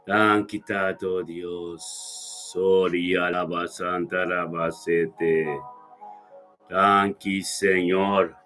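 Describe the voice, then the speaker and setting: A man's voice: sits low, a bit bright. Man in fervent, repetitive prayer over music. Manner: repetitive, with frequent interjected praise exclamations. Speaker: prayer leader. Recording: music playing behind the voice.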